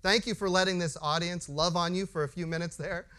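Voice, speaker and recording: Male host, 40s, clear higher voice, warm and a bit halting. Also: steady tone. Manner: warm, repeats words and restarts often. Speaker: host, agency administrator. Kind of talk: hosting a live panel.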